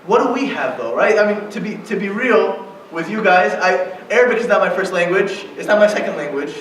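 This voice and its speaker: A high-voiced man gives a relaxed religious lecture, checking in with his listeners as he goes. He sits well back from the microphone. His voice rings through a very reverberant hall, with a faint hiss of noise underneath. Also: brisk. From United States. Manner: conversational, often checks in with a questioning "right?". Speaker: guest lecturer at an Islamic center. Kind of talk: informal religious talk to young adults. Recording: very echoey hall, distant mic.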